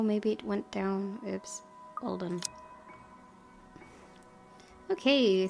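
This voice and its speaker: A woman with a mid-pitched voice does let's play commentary and reads story text aloud. Game music is clearly audible behind her. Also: a little mellow. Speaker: gaming video host.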